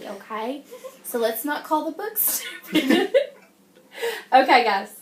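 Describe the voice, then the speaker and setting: Female primary teacher, high-pitched, interactive read-aloud, encouraging and checking in often, recorded in an echoey room. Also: a bit bright. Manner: encouraging, addresses the class as guys, frequent check-in questions. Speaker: teacher reading a picture book to young children. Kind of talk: interactive classroom read-aloud with questions and praise.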